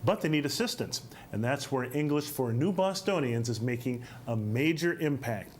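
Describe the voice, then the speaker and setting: Measured male TV host. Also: medium pitch, a little hiss or hum. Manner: measured, with frequent uh fillers. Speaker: TV talk show host. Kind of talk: studio interview.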